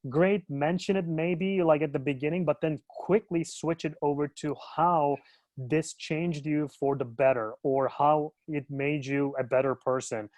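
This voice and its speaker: A man's voice: fairly high pitch, fairly even intonation, a little slow, a little mellow, no echo. A man from Turkey, an educational consultant in his thirties, talking casually in a webinar discussion, with many fillers and restarts.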